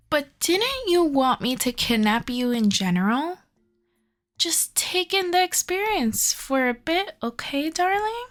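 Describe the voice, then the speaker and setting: A woman playing an obsessive, sweetly possessive young kidnapper in love, coaxing and doting in an ASMR audio roleplay. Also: on the high side. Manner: doting, coaxing, softly controlling.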